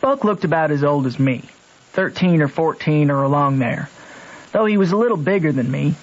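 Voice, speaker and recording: A man's voice: a little high, animated, moderate pace. An actor playing a country boy with a Southern accent, telling his adventures plainly and colloquially in a radio drama. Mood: reflective storytelling. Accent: American Southern. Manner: plain, colloquial rural speech. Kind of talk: storytelling narration in old radio drama. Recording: dull old radio broadcast.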